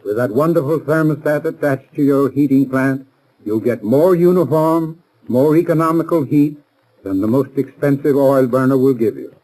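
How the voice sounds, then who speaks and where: A man's voice: medium pitch, normal speed, dark tone, rough recording. An American man reading a scripted 1930s radio advertisement as a spokesman, formal and instructive. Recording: vintage 1930s radio recording.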